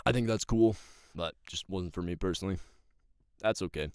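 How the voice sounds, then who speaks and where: A man with a gravelly voice, an amateur voice actor chatting casually in loose, slangy talk. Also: low-pitched, normal speed, a little mellow, no room sound, studio quality. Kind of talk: casual chat.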